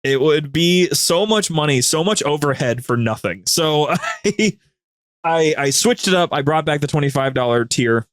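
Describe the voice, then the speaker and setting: Man in animated, rambling casual chat. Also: a little high, normal speed, no echo, studio quality. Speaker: podcast guest, co-host of a gaming media group.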